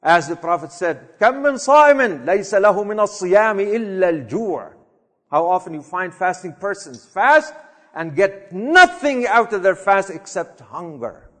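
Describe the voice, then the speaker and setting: High-voiced preacher in a reverberant hall. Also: male voice, very animated. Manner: impassioned, swinging from loud urging to quiet reflection; chants Arabic verses rapidly, then translates. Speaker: preacher giving a Friday sermon. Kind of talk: sermon. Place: mosque prayer hall.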